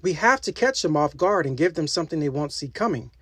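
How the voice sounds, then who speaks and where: A tough, battle-weary alien warrior woman from a children's storybook, acted out by a woman reader. She sounds clever and expressive, with wide pitch movement and a dry recording. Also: fast talker, breathy edge, dry recording, exaggerated voice. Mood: determined. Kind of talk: animated children's storybook dialogue.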